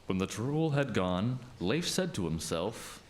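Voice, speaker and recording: A man's voice: fairly low pitch, big pitch swings, a little slow, slight background noise. A man playing a storyteller, narrating a scripted folktale. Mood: storytelling.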